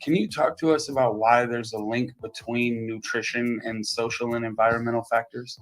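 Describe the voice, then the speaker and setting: A man's voice: medium pitch, steady tone, moderate pace, low-quality recording. Enthusiastic male show host. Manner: enthusiastic, welcoming introductions. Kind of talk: interview show hosting.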